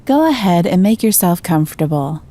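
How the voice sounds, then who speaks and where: A woman gently guiding a meditation, giving slow, soothing instructions. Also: lowish voice, big pitch swings. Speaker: meditation guide. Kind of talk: guided meditation.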